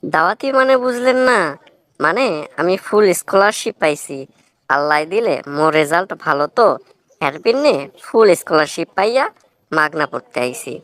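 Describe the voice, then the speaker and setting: A woman's voice: lowish voice, lively intonation, normal speed, a bit bright, dry recording. Woman voicing a rustic young Barisal village man. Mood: proud, explaining. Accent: Barisal Bangla. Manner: rustic, talkative, bluntly moralizing. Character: earnest, plain-spoken young village man, scholarship student. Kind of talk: cartoon comedy dialogue.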